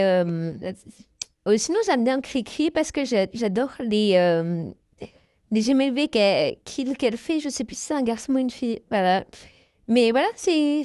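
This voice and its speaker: A woman's voice: a little high, a little mellow, no room sound, studio quality. A young woman answering her viewers' questions in a casual, hesitant chat, full of fillers and small laughs. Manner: hesitant, many fillers, giggly. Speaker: YouTuber answering fan questions.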